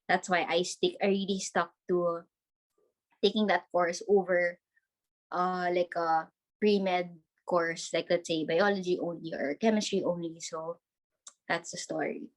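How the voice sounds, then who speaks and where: A young woman from the Philippines chats as a guest over a laptop video call from her bedroom. Her voice sits a little low for a woman. She talks in a bubbly way, laughing often and scattering "like" through her sentences. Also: steady tone, a little slow, poor audio. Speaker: podcast guest. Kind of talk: casual video-call interview.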